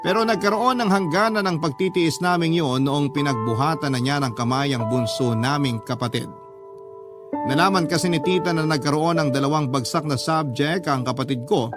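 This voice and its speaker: A man narrating a first-person letter as a radio drama storyteller, in a dramatic scripted style. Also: fairly high pitch. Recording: loud music bed under voice. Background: loud instrumental music.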